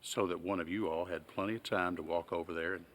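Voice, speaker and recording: A man playing a patient, shrewd small-town sheriff in a film, halting and folksy in a deadpan, polite way. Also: low-pitched. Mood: dry, methodical. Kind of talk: naturalistic film acting.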